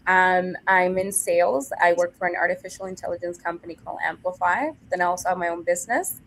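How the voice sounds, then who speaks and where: A woman's voice: fairly low pitch, fairly even intonation, quick pace, a little hiss or hum, low-quality recording. A woman from Venezuela speaking as a guest on a panel show, explaining her work at length in an earnest way. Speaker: panel guest, pageant producer. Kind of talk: casual panel conversation.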